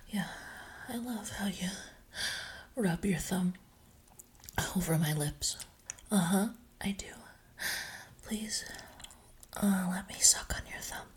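A young woman speaking in a breathy, intimate whisper with soft sighs, close to the microphone. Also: medium pitch, slow pace, pauses often. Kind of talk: whispered audio roleplay.

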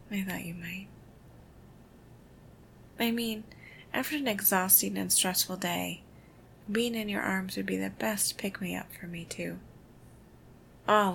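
A woman doing a whispered ASMR girlfriend roleplay, soft, intimate and affectionate. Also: medium pitch, creaky, a little hiss or hum.

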